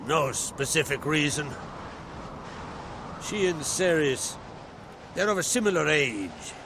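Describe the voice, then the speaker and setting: An actor playing an older island king and father in a video game cutscene, speaking in a steady, measured, paternal way. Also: on the high side, noisy background, exaggerated voice. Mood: calm, paternal. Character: older jarl, father of two grown children. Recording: game audio with music behind.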